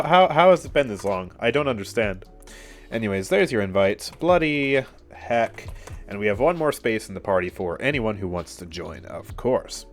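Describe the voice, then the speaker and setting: A male streamer talking through his gameplay at home, his voice a bit stuffy and nasal from a cold. He is chatty and quick to laugh, and his pitch bounces up and down a lot as he reacts. His voice sits at an ordinary middle pitch. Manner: chatty, upbeat, laughs often, reacts aloud to play. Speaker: game streamer. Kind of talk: casual live gameplay commentary. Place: his own room at home.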